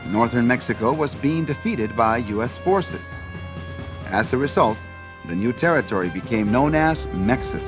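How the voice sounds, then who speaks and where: An authoritative male documentary narrator, performed by an actor in a video game cutscene. His mid-pitched voice moves expressively over clear music, in scripted TV history style. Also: close to an everyday voice.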